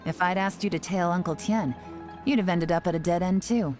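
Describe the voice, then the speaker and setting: Actress playing a cool female spymaster, low voice, calm, crisp orders, faint game music. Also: brisk, natural voice. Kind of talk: game dialogue.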